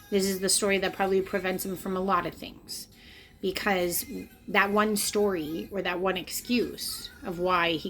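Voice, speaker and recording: A woman's voice: lowish voice, fairly bright tone. A woman in her late thirties talking to camera, earnest and confessional, repeating phrases for emphasis as she reflects on her own life. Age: late 30s. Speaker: spiritual vlogger. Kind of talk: personal reflective monologue to camera. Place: indoors, in front of a camera.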